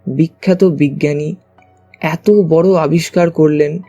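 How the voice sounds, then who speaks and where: A man's voice: fairly high pitch, steady tone, takes it slowly, dark tone, faint music behind. A man giving a dramatized first-person narration of a mystery story. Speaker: story narrator, also voicing the narrating character.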